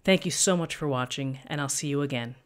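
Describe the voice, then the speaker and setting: Middle-aged woman, thin voice, calm step-by-step explaining, desk mic, tutorial host. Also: extremely low pitch, fairly quick. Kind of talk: screen-recorded software tutorial. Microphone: USB desk microphone.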